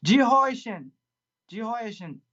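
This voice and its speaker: A man whose first language is Arabic, speaking English haltingly on a live call with many pauses and fillers. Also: high-pitched, lively intonation, bright, forceful tone. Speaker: call-in guest. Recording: dull lo-fi call audio.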